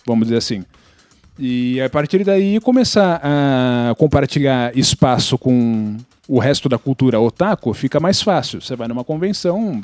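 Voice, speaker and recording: A man's voice: on the high side, mellow, dry recording. A Brazilian man explaining things casually on a podcast, often checking in with tag questions. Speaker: podcast panelist.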